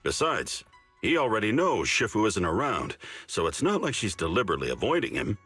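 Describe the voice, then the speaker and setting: Lively, deep, cunning senior disciple. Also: male voice, a little fast, breathy edge, soft background music, heavily acted. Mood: easygoing, persuasive. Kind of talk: video game voice acting.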